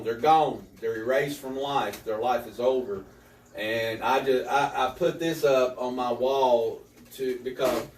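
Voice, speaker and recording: A man's voice: mid-range voice, slight background noise. A man in his fifties tells his story in a casual interview. He is earnest and restarts his sentences, repeating small words. He sits at a distance from the mic in an echoey room. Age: 50s. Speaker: author.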